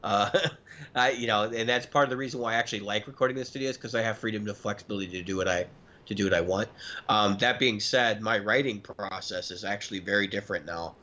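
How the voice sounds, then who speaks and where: A man's voice: on the low side, quick pace, sharp tone, muffled recording. A man in his early thirties chatting casually and emphatically as a talkative podcast co-host. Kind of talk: casual chat about gear and recording.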